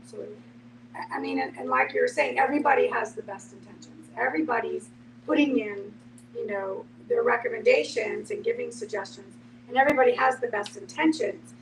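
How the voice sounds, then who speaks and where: A woman's voice: on the high side, brisk, creaky. A woman leading a group discussion, speaking in a reflective, explanatory way as a host. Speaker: host and care services professional. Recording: noisy room, distant mic, hum.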